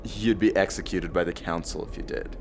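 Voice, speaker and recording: A roguish space mercenary of noble birth acted by a man for an audio drama. He is cocky and defiant, in a lowish voice. A light bed of ambient noise sits behind him. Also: fairly even intonation, a little fast, close to an everyday voice. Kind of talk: science-fiction audio drama acting.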